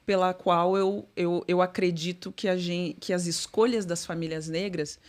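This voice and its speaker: A middle-aged woman with a low voice talks through her ideas as an interview guest. She is thoughtful and halting, with frequent fillers and restarts. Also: fairly even intonation. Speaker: guest executive. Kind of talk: conversational interview.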